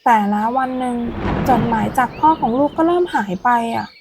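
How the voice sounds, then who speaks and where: A woman playing a gentle, loving mother, tenderly and reflectively telling memories to her daughter in a storybook. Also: fairly high pitch, lively intonation, brisk, noisy background.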